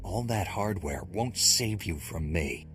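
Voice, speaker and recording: An actor playing a steely, ruthless Jedi warrior with a firm, defiant delivery in a video game. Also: male voice, sits low, animated, slow. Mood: cold defiance. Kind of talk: video game dramatic acting. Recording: music behind the voice.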